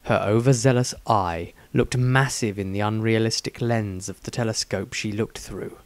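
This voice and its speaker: A man's voice: fairly low pitch, fairly even intonation, moderate pace, no room sound. A man narrating a fantasy story expressively, as an author reading his own work.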